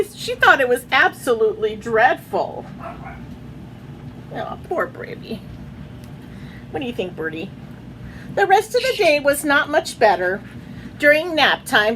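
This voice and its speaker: Lively bright-voiced woman reading a picture book. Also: a little high, slight background noise, rough recording. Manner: sing-song storybook reading with asides to pet birds. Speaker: children's book reader. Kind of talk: read-aloud storytelling to pet birds.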